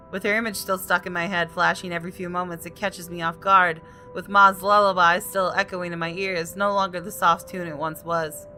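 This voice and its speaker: A woman gaming host reading visual novel narration aloud in an expressive storytelling style. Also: on the low side. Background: background music.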